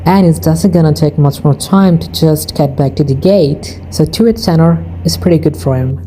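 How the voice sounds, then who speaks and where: Low, mellow-voiced woman explaining radio calls. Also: normal speed, slight background noise, low-quality recording. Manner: plain, non-native phrasing, informal asides. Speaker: aviation video narrator. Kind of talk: explaining air traffic audio.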